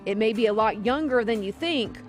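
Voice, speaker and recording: A woman's voice: fairly high pitch, expressive, brisk. An American woman narrating a scripted health segment as a reporter. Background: background music.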